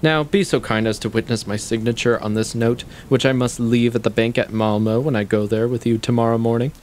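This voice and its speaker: An actor playing a shrewd, needling intellectual in a stage play, speaking deliberately in formal, articulate, condescending sentences. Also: male voice, mid-range voice, steady tone, slight background noise, natural voice. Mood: assured, pressing. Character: shrewd, probing male intellectual, coolly needling his guest. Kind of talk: stage play acting, long monologues.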